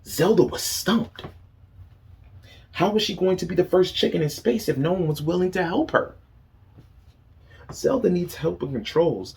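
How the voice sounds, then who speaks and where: A man's voice: on the high side, vocal fry, a little mellow. A man reading a picture book aloud to young children as a teacher, asking them questions and praising their answers.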